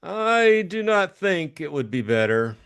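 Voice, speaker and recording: Male narrator as effete crime-novel schemer, high-pitched, slow, mannered, silky polite, evasive. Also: very animated, fairly bright tone, clean tone, full character voice. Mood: suave, polite. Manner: formal, mannered, over-polite phrasing.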